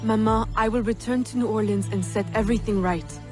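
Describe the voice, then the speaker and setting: A woman's voice: medium pitch, steady tone, brisk, natural voice. A woman playing a resolute, earnest young female assassin and devoted daughter in a video game cutscene. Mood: reassuring, resolute. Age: young adult. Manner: earnest, determined. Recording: background music.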